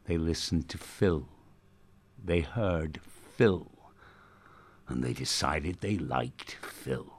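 A man acting in a darkly comic radio drama as a cynical, self-important show host, with a gravelly, husky voice and a theatrical, sardonic manner. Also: a bass voice, animated, slow, full character voice. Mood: dismissive. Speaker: actor playing a radio host.

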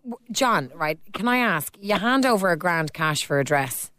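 A woman's voice: fairly low pitch, lively intonation, moderate pace, pushed and bright, dry recording. A woman with an Irish accent hosting a phone-in radio show, chatting and teasing callers and reading out listener texts. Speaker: radio host. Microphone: studio mic.

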